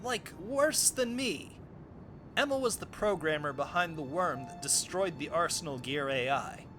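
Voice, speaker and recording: Neurotic geek scientist for game parody , male actor: high voice, snide, bitter, complaining. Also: expressive, a little slow, music in the background. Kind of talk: comedic video game parody dub.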